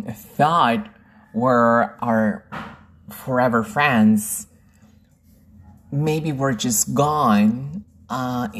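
Slow, very low-voiced woman. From Peru. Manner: slow, reflective, with many pauses and fillers. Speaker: podcast host and English teacher. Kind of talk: personal storytelling podcast.

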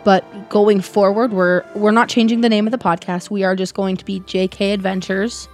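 A woman with a slightly raspy voice talks through podcast news in a relaxed, rambling way. Her pitch sits in the middle of the range. Faint music plays underneath her. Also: moderate pace. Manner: chatty, filler-heavy, upbeat. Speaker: podcast co-host. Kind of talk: casual chat.

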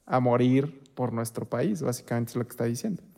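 A man with a Mexican accent and a mid-range pitch. He talks casually on a podcast, stopping often to hunt for the right word. His voice drops off softly at the ends of phrases. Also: fairly quick, creaky. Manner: hesitant, searches for words, trails off softly. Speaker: podcast co-host. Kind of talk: casual conversation.

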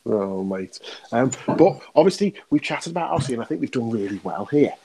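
A man's voice: medium pitch, animated, a little fast, creaky, warm tone, breathy edge. A man hosting a podcast, chatting casually in a jokey, teasing, self-deprecating way. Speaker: podcast host. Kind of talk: casual chat.

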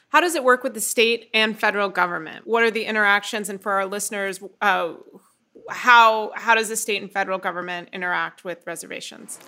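A woman hosts an interview, her voice bright and forward. She puts questions to a guest, pausing on small fillers as she goes. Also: mid-range voice. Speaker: podcast host.

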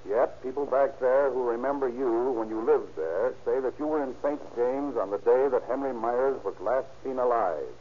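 Stern, pressing police interrogator played by an actor. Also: male voice, medium pitch, normal speed, hardly any pauses, natural voice. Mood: accusing, pressing. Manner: firm, pressing, accusatory. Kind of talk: 1940s-50s radio crime drama acting. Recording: dull, hissy old-time radio recording.

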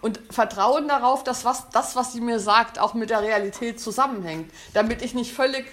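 A woman giving a thoughtful spoken talk, often pausing with fillers and restarting her sentences. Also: a little high. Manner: hesitant, many fillers and restarts. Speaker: speaker on language and society.

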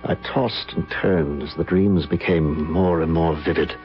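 A man's voice: very deep voice, fairly even intonation, unhurried, soft background music. A man playing a troubled, headstrong guest in an old radio mystery, speaking and narrating with dramatic intensity. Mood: haunted, narrating. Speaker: lead actor and narrator. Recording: muffled old radio broadcast.

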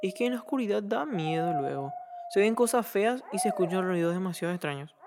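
A woman playing a timid, excitable, kind ten-year-old boy with a Paraguayan accent and hesitant speech in an audio drama. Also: mid-range voice, brisk, noisy background, close to an everyday voice. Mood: nervous. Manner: hesitant, stammering starts, expressive.

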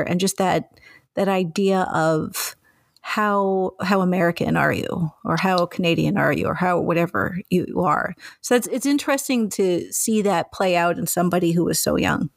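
A woman from Mexico hosting an interview, chatty and animated, acting out the remarks she quotes. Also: mid-range voice, creaky, dry recording, studio quality. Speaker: interviewer, host. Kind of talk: casual interview conversation.

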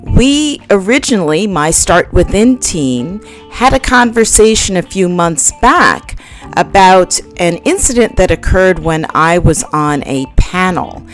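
A woman's voice: fairly low pitch, animated, unhurried, soft background music. A woman hosting a podcast, opening the episode with an earnest personal story. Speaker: podcast host. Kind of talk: scripted podcast intro.

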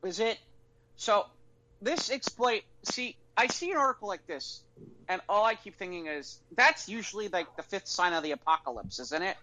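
A man's voice: high voice, expressive, normal speed, bright, forceful tone, poor audio. A man co-hosting a casual podcast chat, joking and riffing on news items. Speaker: podcast co-host.

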